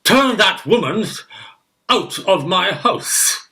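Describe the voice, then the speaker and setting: A man's voice: high voice, expressive, slow, sharp tone, heavily acted. A man playing a pompous older guardian uncle in a theatrical radio farce, with florid, hesitant speech. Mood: outraged.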